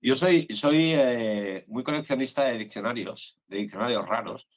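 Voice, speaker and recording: A man's voice: mid-range voice, moderate pace. A man interviewing a translator over a video call, asking loose, rambling questions full of fillers.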